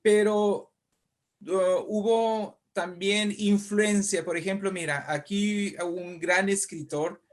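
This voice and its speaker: A middle-aged man with a northern Mexican accent speaks as a guest in an interview. His voice sits a little high for a man. He tells things in an animated, discursive way and often checks that the listener follows. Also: slow. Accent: northern Mexican Spanish. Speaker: guest writer and poet. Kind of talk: conversational interview storytelling.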